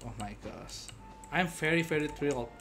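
A man in his thirties reacting casually to a game on stream, excitable and repeating himself. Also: on the high side, moderate pace, faint music behind. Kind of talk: casual live gameplay reaction. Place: indoor room with game audio behind. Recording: noisy stream, game sound behind.

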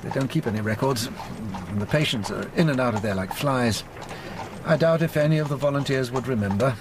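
An actor with an English accent playing a kindly, plain-spoken, slightly gruff gentleman doctor in a radio drama. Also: mid-range voice, fairly quick, background noise, lightly acted. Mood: curious.

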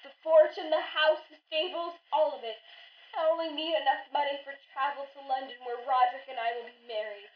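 An actress playing a lovestruck, defiant young heiress with a tearful, melodramatic manner in an old-time radio play. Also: female voice, high voice, fairly even intonation, fairly quick, natural voice. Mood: resolute. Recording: dull, echoey, distant radio-play sound.

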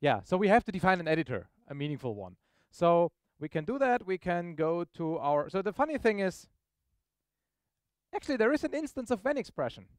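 Male tech presenter, high clear voice, informal and explanatory, dry close sound. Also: sing-song, fairly quick, dry recording. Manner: informal, explanatory, checks in with questions. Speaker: software presenter. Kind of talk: live technical demo to an audience. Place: meetup presentation room, on stage.

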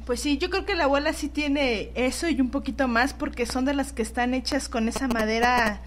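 A woman's voice: high-pitched, moderate pace. A woman with a Mexican accent, a cast guest talking casually in a radio discussion. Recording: clearly noisy radio recording.

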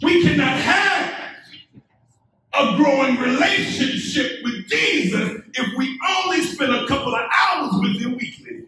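High-voiced, slow-preaching pastor in echoey church. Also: male voice, lively intonation, pushed and bright, a little hiss or hum. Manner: measured preaching with call-and-response and rising emphatic build-ups. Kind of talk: sermon. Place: church sanctuary, indoors, medium-sized. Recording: echoey church sanctuary from a distance.

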